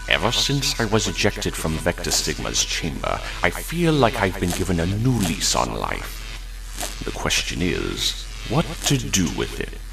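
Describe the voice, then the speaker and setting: Grim old-world robot warrior, super low and slow, mournful solemn speeches, music under it. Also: male voice, creaky, distant mic, exaggerated voice. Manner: grave, slow, solemn monologue. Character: brooding male robot warrior mourning his lost world. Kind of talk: motion comic voice acting.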